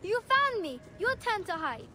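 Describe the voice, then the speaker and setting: An actress voicing an eager, playful small child in a game. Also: female voice, very high-pitched, very animated, measured pace, pushed and bright, reverberant, big-room sound, a little hiss or hum, full character voice. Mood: excited.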